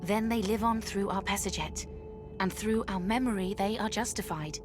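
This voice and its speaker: An actress playing a pompous, solemn eldest daughter, an immortal guardian of justice in a video game. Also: female voice, medium pitch, normal speed, over music, lightly acted. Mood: stern. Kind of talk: video game character acting.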